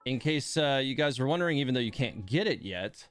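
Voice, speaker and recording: A man's voice: mid-range voice, lively intonation, fairly quick. A man hosting a game livestream, chatting casually and informally to his viewers in a rambling way.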